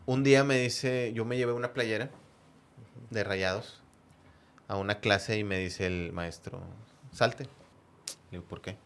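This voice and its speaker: A man with a northern Mexican accent chatting casually and telling anecdotes as a podcast guest, in a halting way with words repeated for emphasis. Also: on the low side, fairly even intonation, slight background noise. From Monterrey area, northern Mexico. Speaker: podcast guest, football fan.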